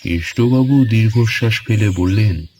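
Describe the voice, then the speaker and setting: A man narrating a scripted horror story in a measured, dramatic way. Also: lowish voice, darkish tone, a little hiss or hum.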